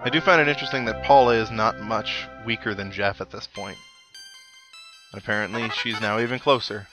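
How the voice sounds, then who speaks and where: A man's voice: on the low side, brisk, music in the background, rough recording. A man giving casual video game commentary as a let's player, with wry, self-deprecating asides.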